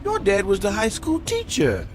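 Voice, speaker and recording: Chatty small-town cabbie, wildly animated pitch. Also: male voice, moderate pace, music in the background. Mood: chatty, prying. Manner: folksy, talkative, prying. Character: chatty, nosy small-town male taxi driver. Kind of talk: radio drama acting.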